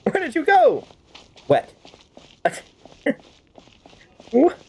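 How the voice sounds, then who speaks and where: A woman's voice: high-pitched, huge pitch swings, very slow, a little mellow. A woman streaming a game with casual, rambling, playful commentary and sudden exclamations. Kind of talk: casual game commentary with in-character fan-dub banter.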